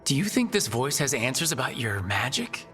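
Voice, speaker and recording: A man's voice: mid-range voice, big pitch swings, normal speed, fairly bright tone, soft background music. A man voicing a supportive, inquisitive hero in a video game, with a direct, questioning manner. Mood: supportive, curious.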